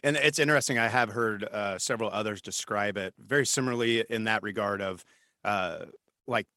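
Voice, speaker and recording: Interviewer, man, reflective and probing, hesitant fillers, bright tone, dry close sound. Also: on the low side, brisk, dry recording. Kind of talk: casual interview conversation.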